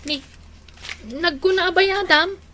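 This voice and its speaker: A caring, exasperated grown daughter in a radio drama. Her very high voice coaxes and scolds with an Ilocano accent, on a slightly noisy recording. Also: measured pace, pushed and bright, exaggerated voice. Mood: friendly. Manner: coaxing and chiding.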